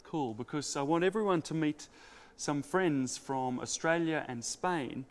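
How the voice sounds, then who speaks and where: Man, TV presenter, upbeat, introducing guests, higher voice. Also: unhurried, big-room sound.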